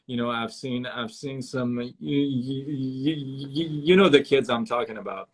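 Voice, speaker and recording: A man's voice: mid-range voice, rough recording. Man who stutters, hosting a chat. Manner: halting, frequent repeats and stutters. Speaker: host. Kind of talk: casual interview chat.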